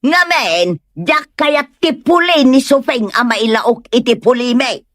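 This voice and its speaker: A woman's voice: high voice, expressive, slow, a bit bright, no echo, studio quality, heavily acted. A woman playing a testy, haughty mother who snaps at people in a comedy audio drama. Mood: scornful. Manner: snappish.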